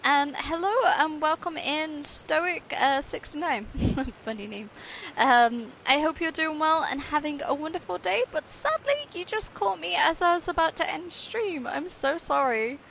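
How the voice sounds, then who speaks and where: High-voiced, chatty woman streaming a game. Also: animated, measured pace, vocal fry, fairly bright tone, a little hiss or hum, poor audio. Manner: chatty, playful, sweary, doting. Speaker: livestreamer. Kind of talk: casual gaming stream commentary. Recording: game music behind the voice. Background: video game music.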